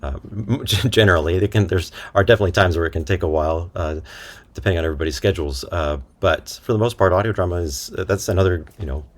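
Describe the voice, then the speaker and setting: A man with an extremely low, deep voice, talking as an interview guest. His delivery is casual and a little halting, as he works through his thoughts aloud. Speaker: interview guest, audio drama creator and voice actor.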